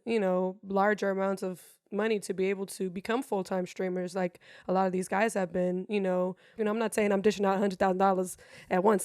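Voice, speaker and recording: A woman's voice: medium pitch, smooth, no echo. Brief: woman, English, rambling and blunt, dry close sound. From Germany. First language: German. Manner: rambling, frank, swears freely. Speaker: guest streamer. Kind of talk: casual interview chat.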